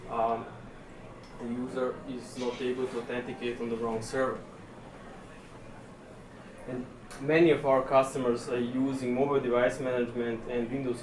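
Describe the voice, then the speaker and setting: Man talking in an echoey hall. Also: medium pitch. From Serbia. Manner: relaxed and chatty, pausing often on fillers. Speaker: conference speaker. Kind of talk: technical presentation. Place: conference session room. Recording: echoey room, distant mic, room noise.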